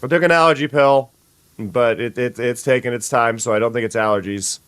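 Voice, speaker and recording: Brief: young sniffly streamer, mid-pitch man's voice, chatty with restarts, dry booth sound. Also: fairly quick, a bit bright, smooth, no room sound. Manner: chatty, stumbling restarts, greets viewers. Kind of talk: casual gameplay chat.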